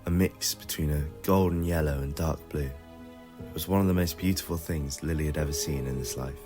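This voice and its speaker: A man's voice: extremely low pitch, a little fast, mellow, faint music behind. A man reading scripted narration for an adventure audio story.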